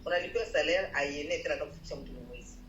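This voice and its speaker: A woman's voice: low voice, rapid-fire, fairly bright tone. A woman chatting casually as an interviewee on a call, matter-of-fact. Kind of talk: casual chat. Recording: muffled, echoey call with faint noise.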